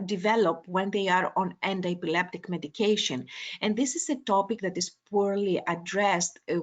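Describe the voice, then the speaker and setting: A woman from Greece with a Greek accent, a neurologist giving a medical lecture webinar over a video call, pausing often on uh and um. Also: on the low side, dull audio. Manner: hesitant, many uh and um fillers.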